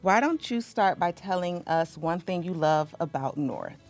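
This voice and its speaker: A middle-aged woman, an assistant principal, casually interviewing a high school student. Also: animated, a little slow.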